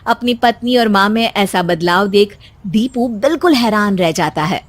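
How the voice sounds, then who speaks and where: A woman's voice: medium pitch, expressive, moderate pace, dry recording. A woman narrating a scripted cartoon story as its storyteller.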